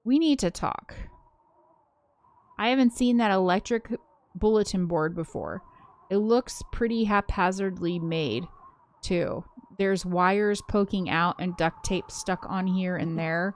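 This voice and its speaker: A woman reading a visual novel aloud as a game co-host, expressive in the story and giggly in her casual asides. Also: on the low side, a little slow. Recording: music playing behind the voice. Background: game soundtrack music.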